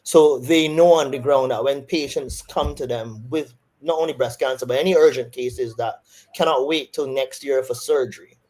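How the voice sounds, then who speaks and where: A man's voice: mid-range voice, moderate pace, a little mellow, poor audio. A man with a Barbadian accent, a surgeon answering interview questions thoughtfully, with frequent restarts.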